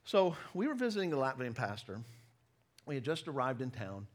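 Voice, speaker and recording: A middle-aged American man giving a sermon with personal stories, conversational but emphatic, pausing deliberately between phrases. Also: mid-range voice, sing-song. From United States. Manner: conversational, emphatic with deliberate pauses, self-deprecating. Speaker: preacher, former missionary.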